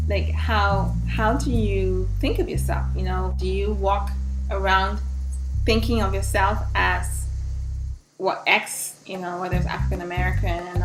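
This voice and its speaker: A woman from Ghana, a vlogger talking to camera in a thoughtful, musing way, often restarting her sentences. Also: fairly low pitch. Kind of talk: casual talk to camera. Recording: echoey room with loud music behind.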